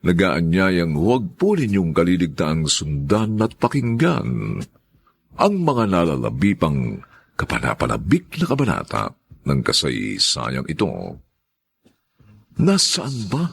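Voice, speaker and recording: Deep, gravelly, theatrical Filipino announcer man. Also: huge pitch swings, measured pace. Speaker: radio drama announcer. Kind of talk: dramatic scripted narration.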